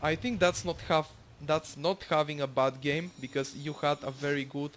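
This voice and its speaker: Bulgarian accent guy talking over his gameplay, kinda high voice, lots of um and you know, swears a bit. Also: steady tone, normal speed, fairly bright tone, a little hiss or hum. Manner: rambling, many fillers, swears freely. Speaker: gamer commentating his own match. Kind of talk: casual gameplay commentary.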